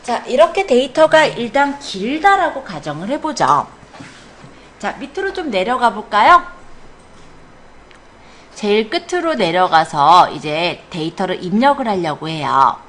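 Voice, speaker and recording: A woman's voice: mid-range voice, very animated, unhurried, fairly bright tone. A woman computer instructor leading a hands-on classroom lesson step by step, often checking that students follow with short questions and encouragement. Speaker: computer academy instructor teaching a spreadsheet class. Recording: clear background noise in classroom.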